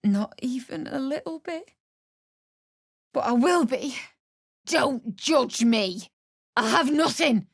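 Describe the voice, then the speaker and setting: Game role: grieving, bitter young widow, slow emotional delivery, very dry recording. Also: female voice, high voice, animated, creaky, husky, no echo, exaggerated voice. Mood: pleading, grief-stricken. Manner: emotional, pleading, blunt. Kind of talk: video game character dialogue.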